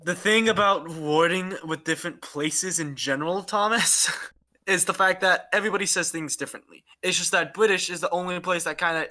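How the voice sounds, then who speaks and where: A man's voice: on the high side, bright, forceful tone. A man hosting a casual podcast chat, rambling and laughing often between topics. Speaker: podcast host.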